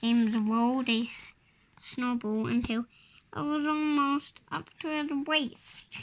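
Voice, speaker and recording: A five-year-old child reading a picture storybook aloud in a halting, word-by-word way. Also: medium pitch, level tone, rough recording. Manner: halting, word-by-word early reader. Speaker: child reader.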